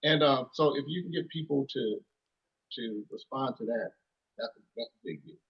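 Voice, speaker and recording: Husky-voiced man telling music stories. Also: mid-range voice, expressive, normal speed, muffled recording. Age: 30s. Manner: rambling, lots of restarts and "you know". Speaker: musician guest. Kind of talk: casual storytelling interview.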